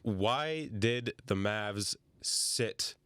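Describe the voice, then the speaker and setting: A man's voice: on the low side, drawn-out words, fairly bright tone, clean tone, dry recording. A man with a Montreal English accent co-hosting a podcast, asking questions in a casual, joking, self-deprecating way. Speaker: podcast co-host. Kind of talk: casual interview chat.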